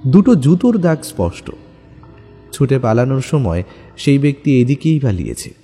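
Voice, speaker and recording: Animated male narrator with long reverb. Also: medium pitch, moderate pace, faint music behind. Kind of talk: dramatic scripted detective story narration.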